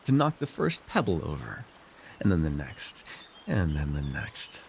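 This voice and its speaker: A man voicing a scheming, weary villain lord in an immersive fantasy ASMR roleplay, wry and persuasive, speaking theatrically with halting, musing pauses and sighs. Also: extremely low pitch, big pitch swings, gravelly, slight background noise, full character voice. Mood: weary, brooding. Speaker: voice actor.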